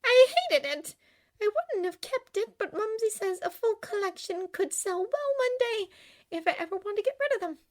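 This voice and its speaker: A woman's voice: extremely high pitch, expressive, normal speed, voice pushed up. A woman voicing an emotional, melodramatic young woman from a storybook, heartbroken and indignant. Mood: subdued, explaining.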